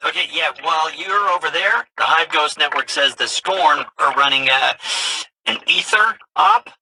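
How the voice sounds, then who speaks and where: An actor playing a casual, wry drifter in a video game, talking in an offhand, hesitant, colloquial way over a radio. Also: male voice, on the high side, expressive, moderate pace, gravelly, bright, forceful tone, breathy edge, full character voice, low-quality recording. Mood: offhand, wary.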